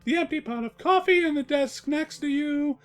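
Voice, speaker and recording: A woman's voice: high voice, moderate pace, faint music behind, natural voice. A woman playing a small, cheeky young male dragon with a dry, teasing manner in a cartoon story reading.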